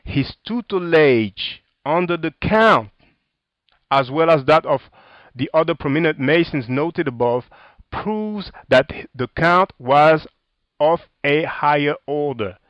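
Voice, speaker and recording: A man's voice: a little high, lively intonation, slow, dry recording, muffled recording. A man with a French accent, whose first language is French, giving a sarcastic, combative video commentary in loose street slang while reading from a book and a website.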